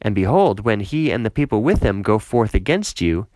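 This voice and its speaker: A man's voice: lowish voice, big pitch swings, normal speed, darkish tone, no echo. Man reading as a commanding, scornful city ruler. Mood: urgent counsel. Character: scheming, scornful male city ruler giving counsel.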